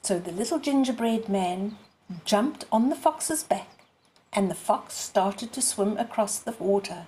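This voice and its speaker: A woman in her sixties reading aloud expressively for young children, phrase by deliberate phrase. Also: medium pitch, animated, dark tone. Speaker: storyteller.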